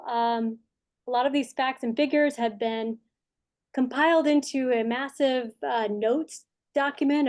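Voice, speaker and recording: A woman with a fairly high voice walks through project progress in a meeting, casual and loose, with plenty of fillers as she lists what her team has done. She talks over a video call. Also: darkish tone. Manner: casual, many fillers, listing items. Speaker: project consultant presenting progress. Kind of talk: meeting presentation.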